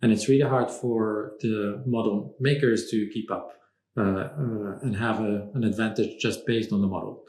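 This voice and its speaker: A man originally from Morocco, speaking as a podcast guest in a measured, thoughtful way with frequent pauses. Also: lowish voice, steady tone, a little mellow, roomy sound. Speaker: podcast guest, strategy consultant. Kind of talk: conversational interview.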